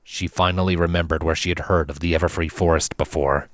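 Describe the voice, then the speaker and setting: A man reading a scripted fantasy story as narrator, in a measured, dramatic and solemn way. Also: low-pitched, steady tone, no room sound.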